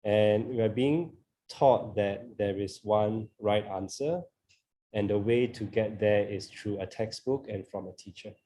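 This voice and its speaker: A man from Singapore speaks with a Singaporean accent, his voice low and deeply mellow. He muses out loud in a group video call, peppering his points with fillers and easy laughs. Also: measured pace, a little hiss or hum. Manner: thoughtful, laughs often, many fillers. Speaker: co-organiser of a discussion group. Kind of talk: reflective group conversation.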